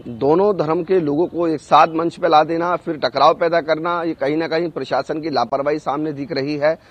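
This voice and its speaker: Halting male reporter, higher pitch. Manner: halting, searches for words with frequent hesitations. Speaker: news correspondent. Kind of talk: unscripted live news report.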